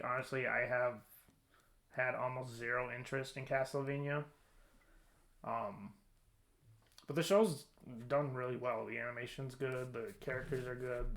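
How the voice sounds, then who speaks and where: A man's voice: medium pitch, creaky, fairly bright tone. A man hosting a casual discussion, informal and thinking aloud as he goes. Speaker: podcast host. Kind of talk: casual discussion of films and shows.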